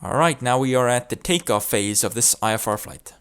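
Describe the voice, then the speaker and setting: A man's voice: medium pitch, a little fast, a bit bright, clear, resonant tone. A man presenting a tutorial, explaining procedures step by step in an instructional narration. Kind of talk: instructional video narration with demonstrated radio calls.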